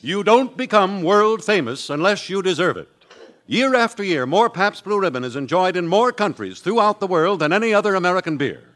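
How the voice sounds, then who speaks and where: A man announcing in a booming, salesy way, as in a 1940s radio ad. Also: animated, fairly quick. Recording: old radio broadcast over loud music.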